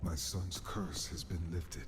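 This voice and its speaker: An actor playing a sorrowful, atoning old warrior father in a dramatic video game cutscene, his voice husky and rough. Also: low-pitched, fairly even intonation, takes it slowly. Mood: solemn, penitent. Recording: quiet game cutscene under music.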